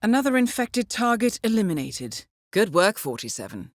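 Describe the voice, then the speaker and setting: A woman's voice: medium pitch, sing-song, no echo, lightly acted. Actress doing a cool spy handler lady for a video game, composed n formal, dry wit, really varied intonation, super dry studio sound. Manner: composed, formal, measured, dryly understated. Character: cool, poised female intelligence handler guiding an assassin. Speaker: mission handler in a stealth assassin video game. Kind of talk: scripted video game mission briefing.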